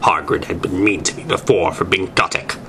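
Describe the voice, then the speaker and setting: A man's voice: deep voice, brisk, sharp tone. A man reading fan fiction aloud in a theatrical amateur style, stumbling over words now and then. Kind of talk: dramatic amateur story reading. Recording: noisy amateur recording.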